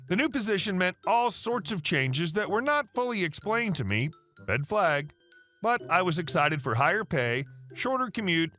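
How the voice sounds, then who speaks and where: A man reading story posts aloud as narrator, in an even, unhurried way. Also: on the high side.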